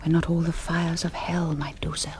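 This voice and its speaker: An actress playing a languid, melancholy young countess of vampire blood in a theatrical seventies radio drama. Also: female voice, low-pitched, flat delivery, moderate pace, darkish tone, slight background noise, low-quality recording. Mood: shivering, plaintive. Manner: theatrical, incantatory, swooning. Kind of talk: 1970s radio drama acting.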